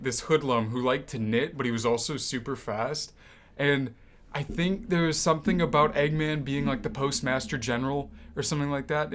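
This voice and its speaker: A man's voice: medium pitch, fairly bright tone. A young American man co-hosting a podcast in a casual, conversational style. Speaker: podcast co-host. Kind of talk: casual chat.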